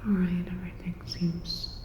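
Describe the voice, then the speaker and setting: Woman playing female cyborg repair tech, ASMR whisper, lowish pitch, attentive, clinical, reassuring, echoey dull recording. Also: completely flat delivery, natural voice. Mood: attentive, gentle. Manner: soft, deliberate, clinical reassurance. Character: calm, attentive female cyberpunk robot-repair technician. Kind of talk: whispered ASMR sci-fi roleplay. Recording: roomy reverberant sound, dull, faint noise.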